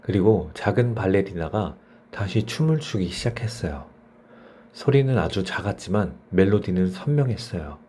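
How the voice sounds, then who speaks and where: A man's voice: low-pitched, sing-song, normal speed, warm tone, a little hiss or hum. A man narrating a bedtime story for children as a storyteller.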